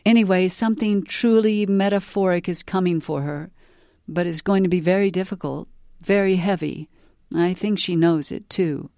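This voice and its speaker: A woman's voice: fairly low pitch, normal speed, mellow, no echo, clean studio sound, pitched above the performer's own voice, natural voice. A woman voicing a tired, reedy-voiced pet psychic who talks in a hesitant, apologetic way. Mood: ominous.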